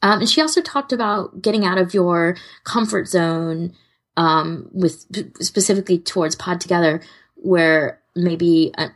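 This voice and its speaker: A woman's voice: on the low side, unhurried. A woman co-hosting a podcast, chatting casually and informally. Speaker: podcast co-host.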